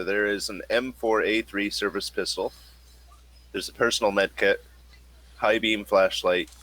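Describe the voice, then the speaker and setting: A man's voice: on the low side, bright, forceful tone, rough recording. A man acting as game master, casually narrating a tabletop role-playing game and listing gear for the players.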